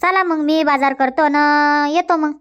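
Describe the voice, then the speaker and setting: A woman's voice: high voice, moderate pace, a bit bright. A woman voicing a chatty, bossy but good-natured village housewife in a dubbed comedy cartoon, speaking colloquial, repetitive Marathi with a rural Vidarbha accent.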